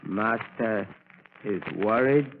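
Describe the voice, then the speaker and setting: An actor playing a superstitious manservant in a 1930s radio drama, with halting, stiff, formal phrasing. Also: male voice, fairly low pitch. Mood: servile, uneasy. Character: slow, servile, superstitious manservant to a cruel master. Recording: tinny, noisy 1930s radio recording.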